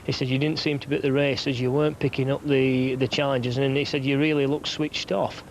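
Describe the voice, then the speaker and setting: A man's voice: medium pitch, level tone, fairly quick, a little hiss or hum, rough recording. A man with a broad Yorkshire accent, a football referee talking plainly in a documentary interview, with many fillers and restarts. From England.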